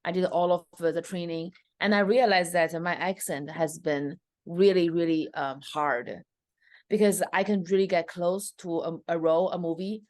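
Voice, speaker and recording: Low-voiced woman with a Chinese accent. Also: normal speed, no echo, studio quality. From China. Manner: animated storyteller with many fillers. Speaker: stand-up comedian guest. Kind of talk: interview storytelling.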